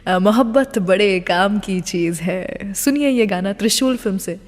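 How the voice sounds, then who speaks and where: A woman's voice: medium pitch, a little slow, in a large hall. An Indian woman presenting a radio show in a chatty, storytelling way, dropping English phrases into her Hindi.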